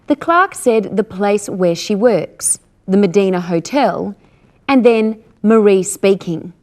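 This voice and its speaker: A woman's voice: medium pitch, lively intonation, slow. A woman presenting a scripted English lesson with careful, instructional delivery. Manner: didactic, careful articulation.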